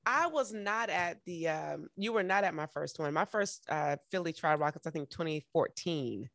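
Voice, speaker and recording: A woman with an American accent hosting a casual interview in an animated, encouraging, emphatic way. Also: low voice, normal speed, no room sound, clean studio sound. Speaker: podcast host.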